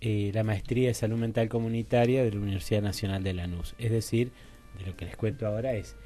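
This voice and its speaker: A man's voice: fairly low pitch, fairly even intonation, quick pace, mellow, slight background noise. A man with a Rioplatense Argentine accent explaining his work as an interview guest, hesitantly, searching for words and adding tags. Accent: Rioplatense Argentine Spanish. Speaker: guest psychologist and researcher. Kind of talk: explanatory radio interview talk. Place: radio studio table.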